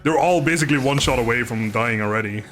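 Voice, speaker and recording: Male livestreamer, medium pitch, loose chatty gameplay commentary with a self-deprecating streak, music underneath. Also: fast talker, fairly bright tone. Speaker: video game streamer. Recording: music playing behind the voice.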